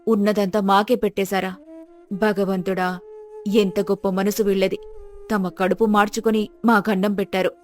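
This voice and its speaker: A woman's voice: mid-range voice, little pitch movement, moderate pace, natural voice. A woman playing a compassionate village wife in a dubbed moral-story cartoon, with earnest, emotional delivery. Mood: moved, distressed. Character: compassionate, heartfelt village wife, visiting guest. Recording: music behind the voice.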